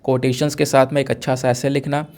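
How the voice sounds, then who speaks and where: A man's voice: medium pitch, flat delivery, quick pace, darkish tone. Man teaching, with a sore throat. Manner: explanatory, poses questions and answers them, code-mixes English terms. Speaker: exam-prep teacher. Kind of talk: current affairs lecture, conversational.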